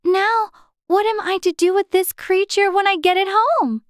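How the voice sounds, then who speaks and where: A prim, earnest little girl from a storybook. The voice is extremely high and childlike, with polite, inquisitive phrasing. It sounds dry, with no room echo. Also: steady tone, moderate pace. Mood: musing, concerned. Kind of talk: dramatized storybook reading.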